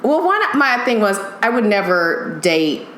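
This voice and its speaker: A woman's voice: medium pitch, unhurried. A woman in her fifties, a TV makeup artist, telling stories as a guest in a casual podcast chat, with frequent laughter. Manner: animated storyteller, laughs often. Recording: echoey room with faint noise.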